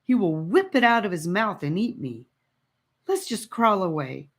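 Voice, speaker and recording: A woman's voice: medium pitch, very animated, moderate pace, pitched above the performer's own voice. A woman playing a frightened, reluctant boy in an audiobook adventure, sounding nervous and unsure. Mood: fearful, reluctant.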